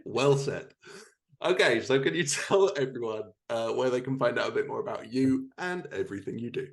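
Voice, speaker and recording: A man's voice: medium pitch, lively intonation, normal speed, gravelly. A man hosting a podcast, chatting in an informal, encouraging way with his guest. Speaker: podcast host. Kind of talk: casual interview chat.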